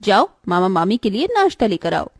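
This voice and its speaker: A woman's voice: mid-range voice, very animated, normal speed, breathy edge, dry recording, clean studio sound, pitched below the performer's own voice. A woman voicing a bitter, domineering young elder stepsister in a cartoon moral story, with an emphatic, dramatic delivery. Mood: angry, scolding.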